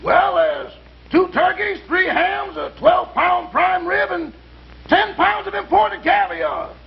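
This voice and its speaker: Dull vintage broadcast sound carries a cheeky manservant from a 1950s comedy. The voice is strikingly high and full of gravel. He is brash and sly, stretching out his words. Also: slow pace, full character voice. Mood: eager, deferential. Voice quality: gravelly, raspy. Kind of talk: 1950s comedy show acting.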